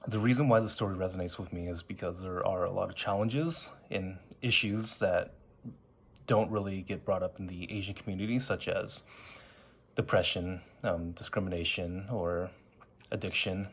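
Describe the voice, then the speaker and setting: A man's voice: low-pitched, steady tone, moderate pace, husky. A man from Oregon, an actor introducing himself in an earnest, reflective way. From Oregon, United States. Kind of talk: casual self-introduction.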